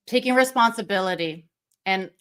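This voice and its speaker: A woman's voice: mid-range voice, moderate pace, a bit bright, clean tone. A woman hosting a livestream interview, enthusiastic and reflective in casual talk over a video call. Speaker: stream host.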